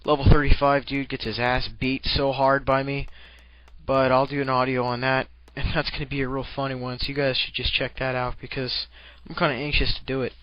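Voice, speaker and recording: Bright-voiced man doing casual game commentary. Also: medium pitch, little pitch movement, a little fast, no echo, muffled recording. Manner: rambling, profane, jokey slang.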